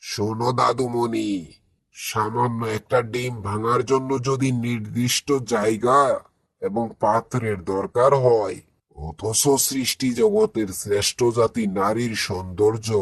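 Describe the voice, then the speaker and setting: A man as a husky, low-voiced wise old uncle. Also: steady tone, no echo. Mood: solemn, instructive. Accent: Bangladeshi. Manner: measured, instructive, gently questioning. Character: wise elderly village uncle, gently moralizing grandfatherly man. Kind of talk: cartoon moral story dialogue.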